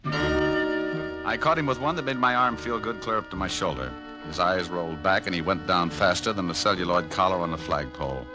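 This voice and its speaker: An actor playing a breezy, deadpan private detective who narrates and trades wisecracks in an old radio drama. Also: male voice, low-pitched, normal speed, loud music behind the voice. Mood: wry narration. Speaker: lead and narrator. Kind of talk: hardboiled 1940s radio detective narration and acting.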